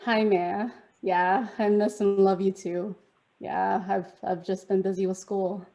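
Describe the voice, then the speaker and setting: A young woman performs her spoken word poem over a video call, her voice mellow and dark-toned. She keeps her pitch level and even, speaking in an intimate, measured way that settles toward a hush. The pacing feels deliberate, each line given weight. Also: creaky, lo-fi sound.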